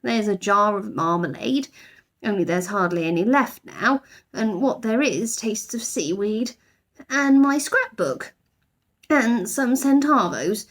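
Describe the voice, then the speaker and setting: A woman's voice: medium pitch, expressive, slow pace. A woman voicing a small, polite young male bear in a children's storybook, earnest, modest and slightly hesitant.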